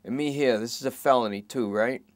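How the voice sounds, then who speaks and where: A whining, rambling junkie thief under arrest, as a man reads him aloud, pitched well above the reader's usual voice. He pleads fast and nervously and keeps talking over himself. The sound is dry and close, with no room around it. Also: dry recording, lightly acted. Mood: pleading. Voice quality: pleading, rambling and self-pitying. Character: whiny petty-thief junkie man in custody.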